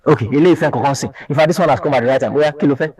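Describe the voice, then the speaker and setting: Nigerian man telling a lively story. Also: on the high side, racing, slightly rough, recorded from a distance. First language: Yoruba. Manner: lively, mimics people, mixes in pidgin. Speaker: content creator. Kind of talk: animated storytelling monologue.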